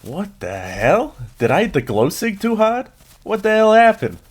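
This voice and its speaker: Animated, dim-witted burly mercenary played by a man. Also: high voice, a little slow, exaggerated voice. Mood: dazed, bewildered. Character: dim burly drug-using male mercenary gunner, confused. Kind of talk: sci-fi audio drama acting.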